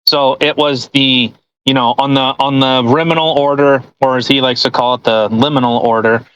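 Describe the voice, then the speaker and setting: A man's voice: medium pitch, fairly even intonation, normal speed, a bit bright, clean tone, rough recording. A man hosting a podcast in casual, mocking commentary with crude joking. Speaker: podcast host.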